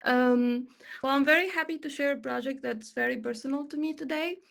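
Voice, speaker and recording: A woman's voice: high-pitched, a little fast, creaky, fairly bright tone. A young woman in her twenties, from Tunisia, presenting her work on an online panel in an upbeat, chatty way with many fillers. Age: 20s. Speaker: panel guest, XR developer.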